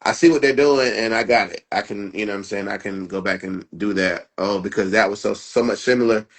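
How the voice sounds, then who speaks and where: Low-voiced man, loose conversational talk. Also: brisk, rough recording. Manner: loose, conversational, full of fillers like "you know what I'm saying". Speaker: MMA fighter and guest. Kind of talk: casual interview chat.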